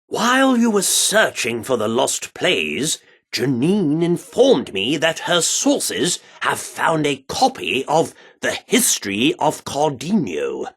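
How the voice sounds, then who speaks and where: A pompous British theatre director for a video game. His voice sits fairly high and swoops dramatically, with a gravelly creak. He delivers florid, Shakespearean phrases with grand, excitable flair. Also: slow, full character voice. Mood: earnest, reverent.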